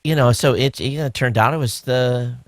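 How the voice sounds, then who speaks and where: A man co-hosting a podcast, talking in a relaxed, rambling way with many fillers. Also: medium pitch, a little fast, no room sound, clean studio sound. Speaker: podcast co-host. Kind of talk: casual chat.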